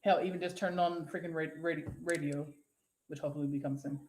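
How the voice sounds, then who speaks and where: A woman's voice: deep voice, fairly even intonation, fast talker, a little mellow, echoey room. A woman co-hosting a casual live show, reading out viewer comments in a rambling, profane way and laughing easily. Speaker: co-host.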